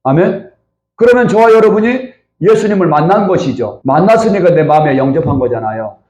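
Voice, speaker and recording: A man's voice: a little high, lively intonation, brisk, darkish tone. A male pastor preaching a Sunday sermon in a church, putting questions to the congregation and calling for amens. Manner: preaching with rhetorical questions to the congregation and calls of amen. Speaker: senior pastor. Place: church sanctuary. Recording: roomy church echo.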